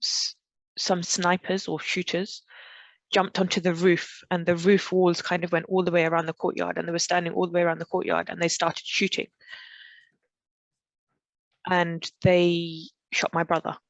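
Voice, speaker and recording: A woman from Pakistan, a podcast guest telling a personal story in a reflective, halting way with frequent restarts. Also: on the low side, monotone, no echo. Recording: dull, dry, lo-fi recording.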